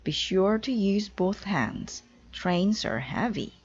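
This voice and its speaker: A woman's voice: mid-range voice, expressive, slow pace, slightly rough, lo-fi sound, pitched above the performer's own voice. A woman reading a children's storybook, voicing a cheerful, literal-minded, scatterbrained housekeeper in an earnest, exclaiming way. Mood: excited. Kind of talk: children's storybook read-aloud.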